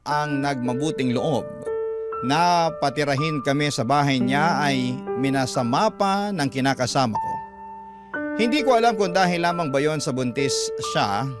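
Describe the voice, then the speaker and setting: Male Filipino radio narrator, mid-pitched, reading a personal story dramatically over strong music.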